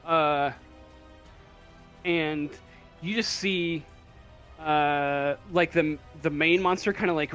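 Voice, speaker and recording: A man with a slightly high voice improvises narration as a tabletop game master. He speaks very slowly and haltingly, in a casual way. Faint music plays behind him. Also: fairly bright tone. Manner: halting, hesitant, casual delivery.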